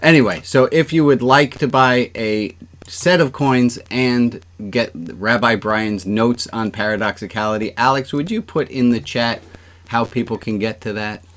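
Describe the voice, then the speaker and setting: A man's voice: medium pitch, a little slow, a bit bright. A man speaking as a rabbi at an informal online service, conversational and often putting questions to the group. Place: online video-call gathering.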